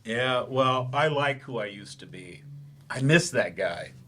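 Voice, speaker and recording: A man's voice: mid-range voice, expressive, measured pace, a bit bright, a little hiss or hum. A male actor in a staged play reading, playing a frustrated, defensive therapy patient who talks in a hesitant, grudging way. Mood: defensive, wistful. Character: defensive, frustrated man in therapy, once-promising writer turned teacher.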